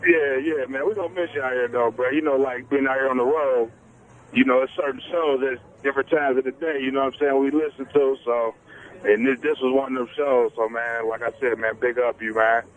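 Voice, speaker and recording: Male trucker caller, mid pitch, slangy and relaxed, muffled line. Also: a little fast. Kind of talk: casual phone call.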